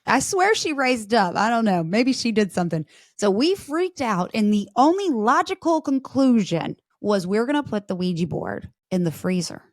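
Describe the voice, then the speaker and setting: A woman's voice: on the high side, very animated, moderate pace, dry recording, studio quality. A woman in her forties, a podcast guest, telling personal stories in casual chat and acting out the voices of people in them. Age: 40s.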